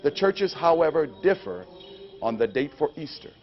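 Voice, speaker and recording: A man's voice: fairly high pitch, animated, moderate pace. A man who works as a chaplain, presenting scripted narration for a training video. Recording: dull, lo-fi training video audio.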